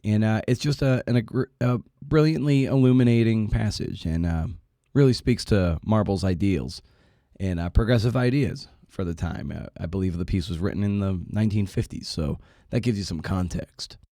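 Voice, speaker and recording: Male podcast host. Relaxed, dark-toned, lowish voice, casual interview talk. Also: normal speed, no echo, clean studio sound.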